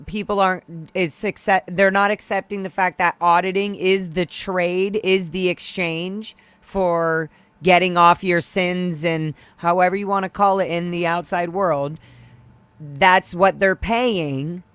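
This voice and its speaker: Chatty, playful woman, smooth voice. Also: fairly low pitch, fairly even intonation, measured pace, a bit bright, no echo. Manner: chatty, playful, laughs easily. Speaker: livestream co-host. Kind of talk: casual chat.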